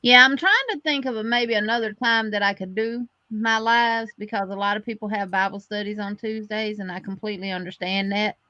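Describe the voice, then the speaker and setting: Woman co-host, bright tone, dry lo-fi sound, rambling chatty delivery. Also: medium pitch, steady tone, fairly quick, few pauses, no room sound. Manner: chatty, plainspoken, full of fillers. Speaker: co-host of a live crochet show. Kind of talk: casual chat on a livestream.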